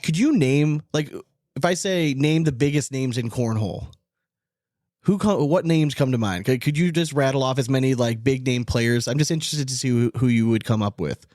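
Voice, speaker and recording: A man's voice: medium pitch, normal speed, a little mellow, no room sound, studio quality. An American man in his thirties hosting a casual podcast chat, informal and sweary, with plenty of banter. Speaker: podcast host.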